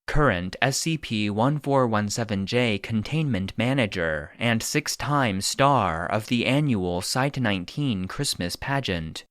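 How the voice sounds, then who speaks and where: A man voicing a database narrator, reading secret records in a formal, clinical, deadpan manner. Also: lowish voice, no echo, studio quality.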